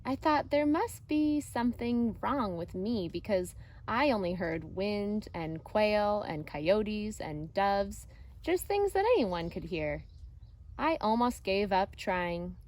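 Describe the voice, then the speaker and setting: A woman teacher reading a picture book aloud to children in a deliberate, expressive way. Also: medium pitch. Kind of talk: storybook read-aloud narration for children. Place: outdoors.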